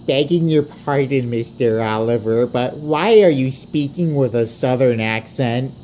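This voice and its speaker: A man's voice: mid-range voice, unhurried, warm tone, slight background noise, voice pushed up, close to an everyday voice. A man voicing a polite but cheeky junior male railway engine in a storybook parody, deferential yet questioning. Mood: puzzled.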